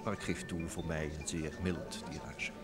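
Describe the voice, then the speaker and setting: An actor playing a smooth, talkative young private secretary and blackmailer, theatrically polite, in vintage radio drama style. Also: male voice, rumbling low, fairly even intonation, moderate pace. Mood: affably polite. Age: young adult. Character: smooth, sly thirty-year-old New York private secretary, a blackmailer. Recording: old radio play recording with music.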